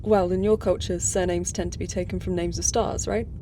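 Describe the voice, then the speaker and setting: An actress playing a thoughtful, earnest starship crew member in a sci-fi audio drama, speaking in a tentative, explanatory way. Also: female voice, on the low side, steady tone, fairly quick, mellow, slight background noise, natural voice.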